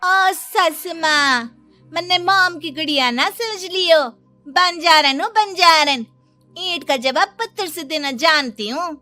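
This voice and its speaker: A woman's voice: very high voice, sharp tone, no room sound, faint music behind, heavily acted. A woman voicing a bold, defiant young nomad daughter-in-law in a cartoon story, with a taunting delivery. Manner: feisty, drawn-out taunting delivery. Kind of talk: Hindi cartoon story dialogue.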